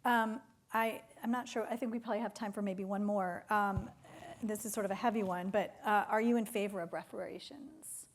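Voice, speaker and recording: A woman's voice: mid-range voice, fairly even intonation, fairly quick. A woman moderating a live question and answer session as interviewer, phrasing things in a tentative, hesitant way. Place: theater stage.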